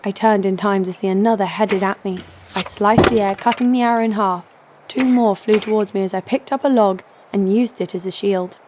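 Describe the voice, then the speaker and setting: An actress playing a tough, sharp-tongued young woman fighter, narrating and speaking in an audio drama with a sardonic edge. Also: mid-range voice, fairly even intonation, fairly quick, noisy background, lightly acted. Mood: narrating, wry. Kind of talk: audio drama first-person narration and dialogue.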